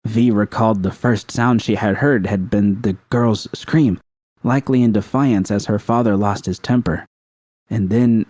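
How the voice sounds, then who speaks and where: A man's voice: lowish voice, warm tone, no room sound. A man reading scripted fiction as an audiobook narrator.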